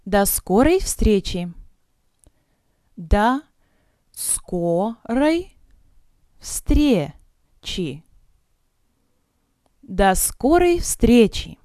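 A woman's voice: mid-range voice, sing-song, very slow, long pauses, a little mellow, no room sound, clean studio sound. A woman teaching vocabulary in a drill, saying each phrase with careful enunciation, then breaking it up word by word and repeating it. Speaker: language teacher.

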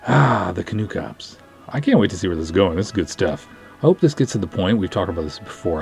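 A man's voice: low-pitched, a little mellow. A man hosting a podcast, chatting casually as he addresses his listeners. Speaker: podcast host. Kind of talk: casual show sign-off.

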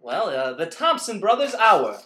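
A man's voice: high voice, very animated, a little slow, pushed and bright, heavily acted. A man playing a cocky, eager vaudeville performer and husband in a mock old-time radio play, brash and hammy, recorded in an echoey room. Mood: easygoing.

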